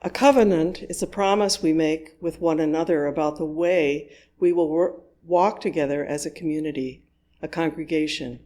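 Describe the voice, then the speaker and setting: A worship leader reading a scripted service in a measured, deliberate way with pauses between phrases. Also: medium pitch, a little mellow.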